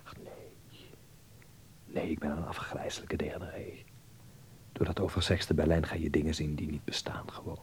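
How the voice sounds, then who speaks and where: A man's voice: rumbling low, level tone, moderate pace, slightly rough, whispered, full character voice. An actor in a radio drama playing a naive, prudish young Dutchman abroad who talks to himself and is easily flustered. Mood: shocked muttering. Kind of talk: radio drama monologue and dialogue.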